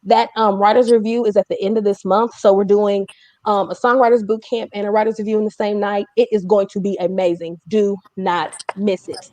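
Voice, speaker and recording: A woman's voice: medium pitch, flat delivery, a little fast, a little mellow, no room sound. A woman hosting a casual livestream chat, talking in an informal, chatty way with joking asides. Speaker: host of a music community livestream.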